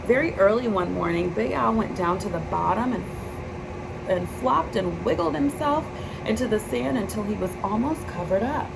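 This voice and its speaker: Female storybook reader for children, mid-range voice with noticeable vocal fry, recorded over clear steady background noise. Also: medium pitch, moderate pace. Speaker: children's picture book reader. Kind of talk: read-aloud storybook narration.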